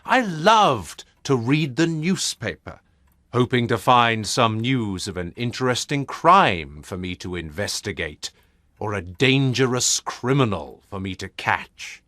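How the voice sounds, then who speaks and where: A man's voice: medium pitch, big pitch swings, a little slow, sharp tone, dry recording, voice pushed up. A man doing a dry, inquisitive detective. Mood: weary. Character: bored, curious male detective, dry and sharp.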